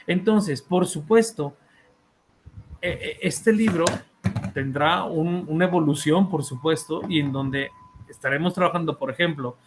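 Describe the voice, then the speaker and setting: Hesitant Mexican man, slow academic talk. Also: fairly high pitch, slight background noise. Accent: Mexican Spanish. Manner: hesitant, frequent fillers and repeated words, checks in with "¿sí?". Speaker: author and therapist presenting a book.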